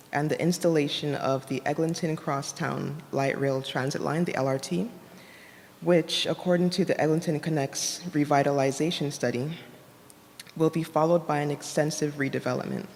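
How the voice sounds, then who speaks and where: A soft-voiced woman reading a prepared academic conference talk in a measured, formal way, enunciating carefully. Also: deep voice. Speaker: academic presenter, designer. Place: indoor conference room at a university. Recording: reverberant hall, noticeable background noise.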